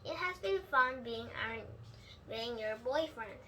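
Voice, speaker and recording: Storybook schoolboy read by a woman: young, whiny, reluctant, high and bright. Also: expressive, measured pace, a little hiss or hum, low-quality recording. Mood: matter-of-fact.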